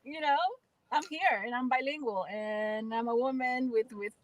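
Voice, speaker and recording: A woman's voice: fairly high pitch, moderate pace, no echo, low-quality recording. A woman from the Dominican Republic with a Dominican Spanish accent, Spanish as her first language, speaking earnestly and reflectively as a guest actress in a radio interview, often repeating words while she finds her phrasing. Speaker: guest actress and spoken word artist.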